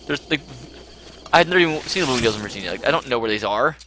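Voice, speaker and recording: A man's voice: mid-range voice, lively intonation, racing, background noise. An American man chatting casually as he hosts a gaming livestream, rambling and playfully argumentative. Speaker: livestream host playing a video game.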